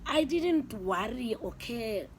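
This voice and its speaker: A woman's voice: fairly high pitch, lively intonation, crowd noise. Earnest older woman. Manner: earnest, measured storytelling with pauses. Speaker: adoptive mother, interviewee. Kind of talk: casual family interview. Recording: faint background noise.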